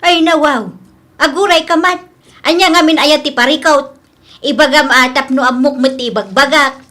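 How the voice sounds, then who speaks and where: A woman's voice: high voice, moderate pace, pushed and bright. A woman playing a caring mother who gives earnest, coaxing advice in a radio drama. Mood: concerned, coaxing. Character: caring, advising mother of a grown son. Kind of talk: Ilocano comedy radio drama acting.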